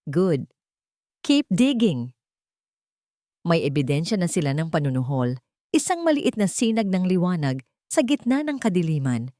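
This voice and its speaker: A Filipino-accented woman narrates a scripted drama. Her voice sits a little low and moves in lively swings, with a theatrical storyteller's delivery. The recording is very dry. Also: a little fast, no echo. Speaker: audiobook narrator.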